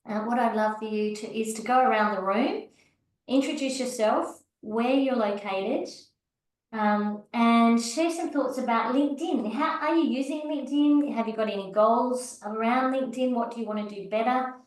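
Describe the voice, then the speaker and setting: A woman's voice: on the high side, moderate pace, heavy reverb, recorded from a distance, low-quality recording. A woman hosting an online networking meeting, encouraging and inviting each member to speak in turn. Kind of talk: hosting a video call networking session. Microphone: video call.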